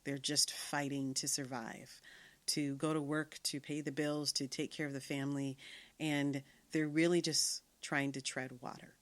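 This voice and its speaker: A woman's voice: low voice, flat delivery, unhurried. A middle-aged woman hosting a podcast, talking earnestly in a reflective monologue with many fillers and restarts. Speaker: podcast host.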